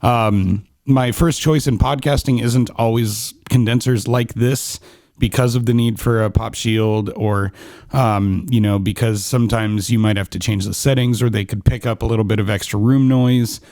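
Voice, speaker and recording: A man's voice: fairly low pitch, moderate pace, dry recording. A man in his thirties casually reviewing microphones in a small home studio, talking close into a condenser mic with lots of ums and restarts. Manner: chatty, relaxed, many ums and restarts. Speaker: podcast gear reviewer. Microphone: studio condenser on boom arm, close, no pop shield.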